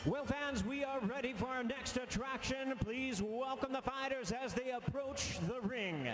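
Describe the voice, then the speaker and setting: A man announcing fighters in a drawn-out, booming ring announcer style. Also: echoey room, background noise.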